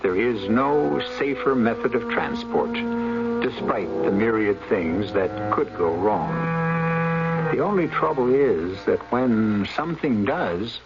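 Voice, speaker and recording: A man hosting and narrating a radio mystery in a measured, dramatic, scripted style, as an ominous storyteller. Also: fairly low pitch, animated, loud music behind the voice. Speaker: host and narrator. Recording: dull old radio broadcast recording.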